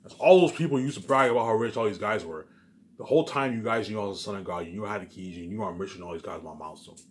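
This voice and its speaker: A man's voice: fairly low pitch, racing. A man giving a rambling, casual monologue as a video maker, insistent, boastful and often repeating himself. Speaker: video maker talking to viewers.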